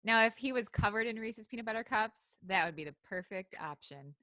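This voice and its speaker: A woman's voice: fairly high pitch, lively intonation, brisk, pushed and bright. A woman chatting casually as a podcast co-host. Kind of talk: casual chat.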